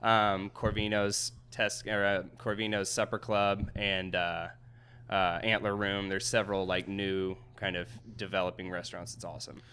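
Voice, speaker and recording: A man's voice: lowish voice, flat delivery, moderate pace, bright, forceful tone, slight background noise. A man in his thirties, a chef with a Midwestern American accent, answering interview questions easily, with many ums and frequent laughs. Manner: easygoing, laughs often, hesitant fillers. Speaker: chef and restaurant owner.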